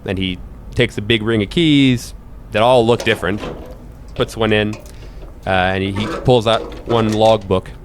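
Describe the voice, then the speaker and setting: A man with a fairly low voice runs a tabletop game, narrating the scene off the cuff. There is faint noise behind him. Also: measured pace. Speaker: game master.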